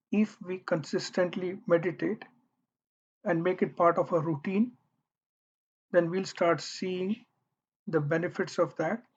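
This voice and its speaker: A meditation guide speaking gently and deliberately, leaving long pauses between short phrases. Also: medium pitch, breathy edge. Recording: dull, muffled lo-fi audio.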